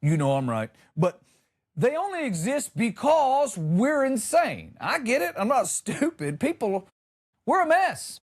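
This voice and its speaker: A man's voice: extremely high pitch, sing-song, a little slow. A man delivering a comedic monologue as a podcast host, ranting in an exasperated, emphatic, sarcastic way.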